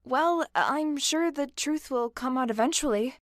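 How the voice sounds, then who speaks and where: A shy apologetic customer in a podcast drama, gentle, high voice, dry audio. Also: female voice, unhurried, no room sound. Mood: awkward, kind. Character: gentle, apologetic, encouraging female cafe customer. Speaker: voice actor in a fiction podcast.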